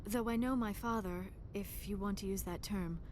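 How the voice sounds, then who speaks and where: A woman's voice: medium pitch, steady tone, normal speed, darkish tone, slight background noise, lightly acted. An actress voicing a young alien woman scientist in a video game, shy and earnest, with formal, precise diction. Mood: calm explaining. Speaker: archaeologist crew member.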